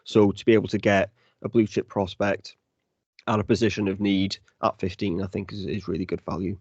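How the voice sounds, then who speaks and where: A man's voice: low voice, little pitch movement, brisk, a little mellow, slightly rough, dry recording. A man on a casual football draft panel, blunt and opinionated. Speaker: draft analyst, co-host. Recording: dry, dull lo-fi audio.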